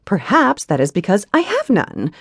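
A woman voicing a proud, defiant young noblewoman warrior bride who talks haughtily and turns shrill when she shouts. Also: huge pitch swings, normal speed, breathy edge, no room sound, studio quality, exaggerated voice. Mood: indignant.

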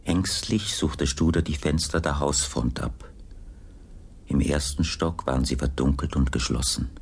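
A man's voice: a bass voice, unhurried, slightly rough. A man narrating a scripted audiobook drama as its storyteller.

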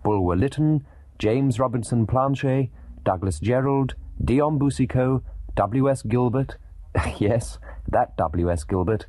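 A man narrates a scripted documentary with dramatic emphasis. His voice is mellow and slightly husky, with a wide, animated range of pitch, and the delivery is literate and measured. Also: dry recording.

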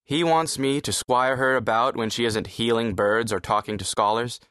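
A man's voice: mid-range voice, steady tone, moderate pace, fairly bright tone, clear, resonant tone, no room sound, clean studio sound, close to an everyday voice. Man playing a haughty, wry young prince. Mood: wry disdain. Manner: aristocratic, disdainful, wry. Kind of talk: full-cast audiobook character acting.

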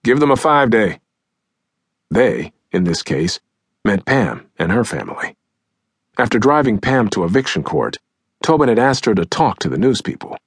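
Husky, low male audiobook narrator, very expressive. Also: moderate pace, dry recording, studio quality. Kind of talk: scripted nonfiction audiobook narration, acting out quotes. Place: close, dry recording studio.

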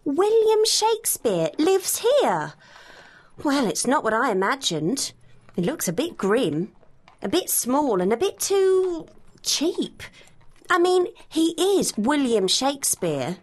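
An inquisitive young girl pupil in an educational audio drama. Her high voice leaps dramatically up and down as she questions and exclaims, easily shocked, at an unhurried pace. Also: sing-song, heavily acted. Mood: surprised, indignant.

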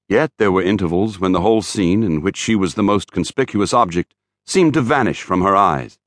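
A man narrating a classic novel as an audiobook, in a formal, measured and expressive storytelling manner. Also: on the low side, no echo, studio quality. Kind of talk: scripted literary narration.

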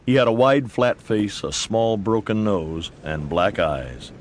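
Low-voiced male Western narrator. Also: slow, slight background noise. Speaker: audiobook narrator. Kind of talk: scripted Western story narration.

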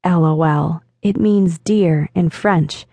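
A woman narrator voicing a flirtatious, self-assured man in an audiobook, with smooth, teasing delivery. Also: lowish voice, slow pace, mellow, no room sound, full character voice. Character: flirtatious confident man chatting online. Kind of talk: audiobook dialogue reading.

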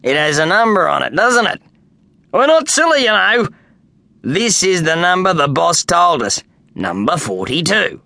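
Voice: medium pitch, sing-song, unhurried, vocal fry, pushed and bright, dry recording, voice pushed up, exaggerated voice. A storybook reader voicing a friendly, ragged Australian workman who moves houses, cheerful and matter-of-fact. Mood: confident. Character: friendly ragged grown man, house-moving worker.

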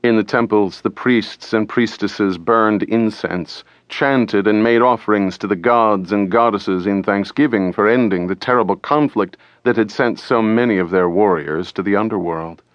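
A man reading an audiobook as dramatic scripted narration, deliberate and theatrical, close to the microphone. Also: fairly low pitch, no room sound, muffled recording.

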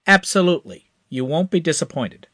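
A man voicing Crash, an excited, slightly nervous young tech whiz. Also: no echo, studio quality. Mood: eager. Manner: excited, quick, pitched up.

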